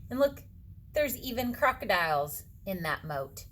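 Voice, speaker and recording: A woman tells a children's picture story with bright, lively intonation. She takes her time, drops into hushed suspense, and adds asides for young listeners. There is faint noise behind her. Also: mid-range voice, unhurried. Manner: dramatic, dropping into hushed suspenseful whispers. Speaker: read-aloud storyteller for children.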